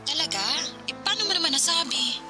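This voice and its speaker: A woman's voice: high-pitched, lively intonation, a little fast. A woman playing a concerned, chummy young office friend in a Tagalog radio drama. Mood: concerned, friendly. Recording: loud music bed under voice.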